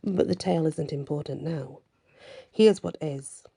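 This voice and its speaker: A woman voicing a blunt, cryptic male magical mentor in a storybook reading, with measured, grave statements. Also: low voice, vocal fry, mellow, husky, heavily acted. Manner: measured, speaks in riddling statements.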